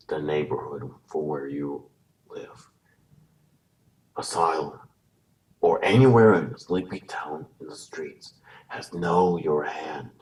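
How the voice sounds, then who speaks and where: A man playing a menacing puppet mastermind in a horror audio drama, slow, deliberate, formal and coldly moralizing. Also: very deep voice. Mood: cold menace. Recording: distant voice under loud music.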